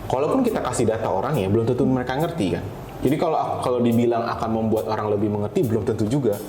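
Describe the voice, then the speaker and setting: Fast-talking man in an echoey room. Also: mid-range voice, noisy background. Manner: informal, explanatory, mixes in English terms. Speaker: guest, data scientist. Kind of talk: casual interview chat. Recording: roomy echo, crowd murmur behind.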